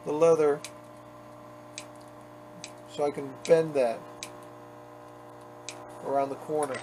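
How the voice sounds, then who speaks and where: A man talking casually as he works on a leather restoration, chatty and self-mocking. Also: fairly high pitch. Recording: workshop noise behind the voice.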